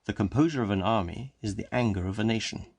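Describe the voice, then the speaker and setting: Guy reading as a calm solemn cop, low gravelly voice, slow and measured. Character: calm, solemn educated male policeman. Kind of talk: audiobook character reading.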